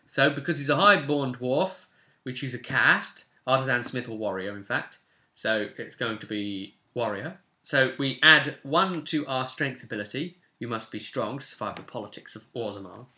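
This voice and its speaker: Bright-voiced young man, roomy sound. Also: mid-range voice, animated, normal speed. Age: 20s. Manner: chatty, thinking aloud. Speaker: host of a tabletop roleplay channel. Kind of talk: casual explanatory chat while rolling a game character. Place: small home room, indoors. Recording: roomy echo, background hiss and clicks.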